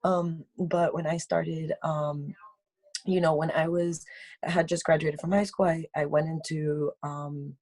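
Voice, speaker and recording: A woman in her twenties, a meditation guide and interview guest, telling her story in an effusive, warm way with fillers. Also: low-pitched, level tone, a little slow, dry recording. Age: 20s. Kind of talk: casual interview chat. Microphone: video call.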